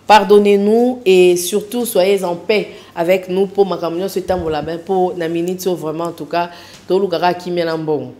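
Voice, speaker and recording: A woman's voice: fairly low pitch, steady tone, normal speed, warm tone. A woman hosting a TV show, talking in a preacherly way and mixing in Lingala. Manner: switches between French and Lingala, preacherly. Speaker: TV show host. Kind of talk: talk-show presenting with dedications.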